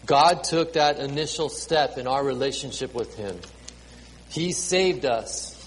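Man preaching slowly in a reverberant hall. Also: medium pitch. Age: 30s. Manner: halting, repeats words and fills with ums. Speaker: pastor. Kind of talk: sermon to a congregation with children. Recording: reverberant hall, faint ambient noise.

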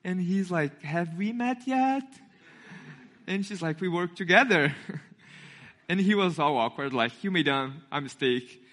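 A young man from Brazil with a Brazilian Portuguese accent preaches to a congregation in a friendly, storytelling way. His voice sits a little high and sounds bright and forward. He acts out the voices of the people in his story as he goes. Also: animated, unhurried, long pauses. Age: 20s. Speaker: intern and youth speaker. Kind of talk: sermon with storytelling.

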